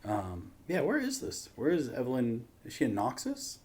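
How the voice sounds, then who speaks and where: A man chatting casually as a reaction video host, informal and thinking out loud as he goes. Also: mid-range voice, wildly swooping pitch, normal speed.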